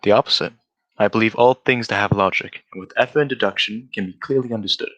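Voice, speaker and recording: A man acting a cerebral, restrained gentleman detective in a radio drama, speaking formally and courteously. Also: lowish voice, fast, dry recording, lightly acted, rough recording. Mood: reserved, wistful. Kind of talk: adaptation of a 1940s radio drama.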